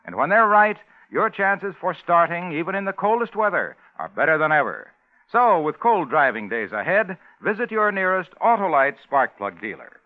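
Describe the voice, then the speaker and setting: Animated American vintage radio announcer man. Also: sits high, normal speed. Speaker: radio announcer and sponsor pitchman. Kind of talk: 1950s radio announcing, scripted ad pitch. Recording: muffled old radio broadcast, music behind.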